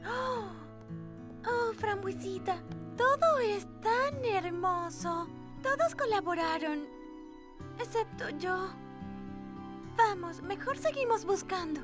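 A woman's voice: extremely high pitch, lively intonation, slow pace, pauses often, music in the background, exaggerated voice. A woman playing a sweet, encouraging little girl in a children's cartoon, gushing and supportive. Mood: admiring, helpful.

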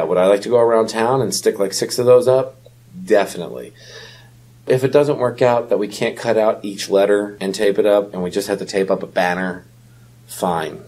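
Male podcast co-host, low-pitched and husky, conversational delivery. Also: a little mellow. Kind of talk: casual chat.

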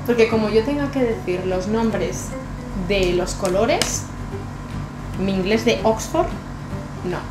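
A woman's voice: mid-range voice, animated, slow pace, pauses often. A young woman with a Spain Spanish accent, chatting casually through a makeup tutorial as a beauty vlogger. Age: young adult. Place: small bright bedroom, indoors. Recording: echoey room with background music.

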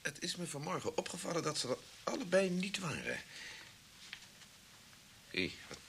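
An actor playing a pedantic, self-important professor, formal and fussy, in an old Dutch radio drama. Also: male voice, on the high side, huge pitch swings, normal speed, gravelly, a bit bright, full character voice. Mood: musing, formal. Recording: hissy old radio recording.

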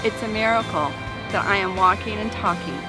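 A woman's voice: medium pitch, fairly quick, poor audio. A woman who is a real estate agent telling her own survival story in a heartfelt, earnest way. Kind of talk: personal testimony. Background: loud background music.

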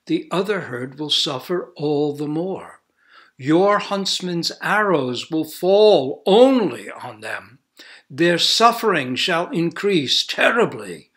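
A man voicing a gentle, selfless deer king in a fable, speaking softly and often in a whisper. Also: a little high, voice pushed up, exaggerated voice. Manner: soft, hushed, often whispered.

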